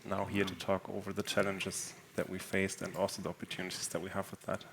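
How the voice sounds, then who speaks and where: Measured man on a panel. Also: sits low, completely flat delivery, gravelly, a bit bright, slight background noise. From northern Germany. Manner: thoughtful, measured, frequent fillers and restarts. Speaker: panel speaker, engineering executive. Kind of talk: conference panel talk. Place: conference stage.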